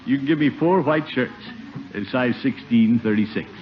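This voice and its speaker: An actor playing a blustery, aggrieved middle-aged American uncle in 1950s radio comedy, grumbling and exclaiming theatrically. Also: male voice, medium pitch, moderate pace, lightly acted. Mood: casual, genial. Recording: muffled, noisy 1950 radio recording.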